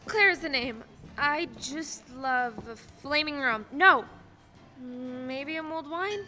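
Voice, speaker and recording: A high, piercingly bright guardian angel, acted by a young woman in a radio play. She is eager and chatty with quaintly proper phrasing, heard in a reverberant hall. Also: takes it slowly, soft background music, exaggerated voice. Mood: bright, chirpy. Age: teens to young adult. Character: chirpy, earnest second-class guardian angel, female. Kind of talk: stage radio play acting. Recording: echoey hall, faint background noise.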